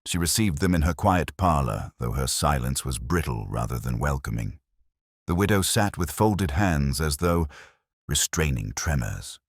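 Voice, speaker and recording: A man's voice: a bass voice, animated, moderate pace, gravelly, slightly rough, no room sound, clean studio sound. A man reading a scripted mystery story as narrator.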